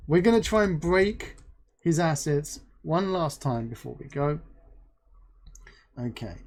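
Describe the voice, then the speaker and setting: A livestream host chatting playfully with a guest and reading chat aloud, bubbly and expressive. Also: mid-range voice. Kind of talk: live-stream interview and chat reading.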